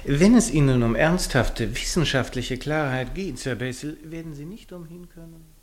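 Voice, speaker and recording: A male actor plays a suave intellectual villain in a radio drama, long-winded and mocking. His voice sits a little high and sounds pedantic and ironic. The recording has faint noise. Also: moderate pace, close to an everyday voice. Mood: smug lecturing. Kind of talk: radio play acting.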